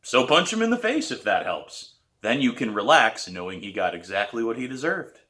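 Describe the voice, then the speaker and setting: A man's voice: mid-range voice, big pitch swings, moderate pace, bright, forceful tone. A man reading a story aloud and voicing a demanding, sarcastic male drum teacher who snaps out blunt orders. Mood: teasing.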